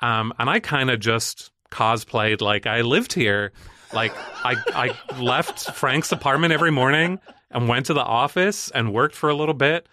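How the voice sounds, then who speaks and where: Bright-voiced man, softened Northern Irish accent. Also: medium pitch, normal speed, no echo. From Northern Ireland. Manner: rambling, self-deprecating, laughs often. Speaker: podcast guest. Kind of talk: casual chat.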